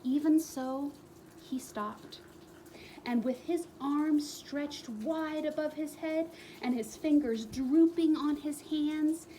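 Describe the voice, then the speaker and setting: A woman reading a children's book aloud as a storyteller, expressively, with dramatic pauses and emphatic repetition. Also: high voice, dark tone, slight background noise, rough recording.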